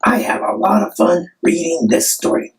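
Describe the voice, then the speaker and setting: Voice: mid-range voice, expressive, moderate pace, gravelly, recorded from a distance, rough recording. A performer voicing a cheerful, friendly monster who hosts a children's story show in simple, chatty talk. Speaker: host of children's story channel.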